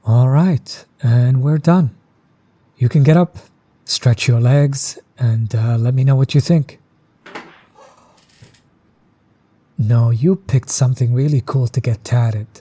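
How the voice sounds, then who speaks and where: A man playing a friendly, laid-back tattoo artist who talks to the listener in a relaxed, supportive, reassuring way, as in an audio roleplay. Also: mid-range voice, measured pace, breathy edge. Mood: easygoing, pleased. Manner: relaxed, supportive, explains gently.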